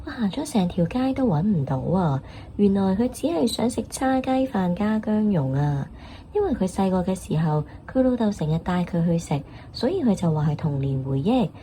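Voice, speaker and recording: Woman acting an exasperated employee, very mellow dark timbre, swinging lively pitch, complaining manner, comedic radio drama. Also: normal speed, slight background noise, natural voice. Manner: animated, complaining. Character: exasperated office worker grumbling about the boss.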